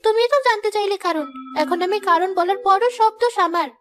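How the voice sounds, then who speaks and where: A woman puts on a stubborn, playful teenage girl for a cartoon, pleading and childlike. The voice is extremely high, with music behind it. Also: brisk. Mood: pouting. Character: playful, stubborn fifteen-year-old girl who loves climbing trees.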